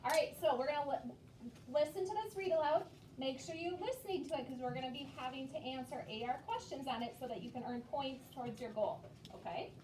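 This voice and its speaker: Female elementary teacher, high voice, patient classroom delivery, distant mic with roomy echo. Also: fairly quick. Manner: simple, patient explaining with frequent check-in questions. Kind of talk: classroom instruction to young children. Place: elementary school classroom, indoors. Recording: echoey noisy classroom, far from mic.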